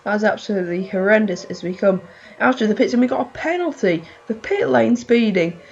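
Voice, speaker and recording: A woman with a hoarse, fading voice giving rambling, excitable play-by-play of her racing game, full of fillers. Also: mid-range voice, brisk, highs cut off. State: hoarse, losing voice. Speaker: gaming channel host.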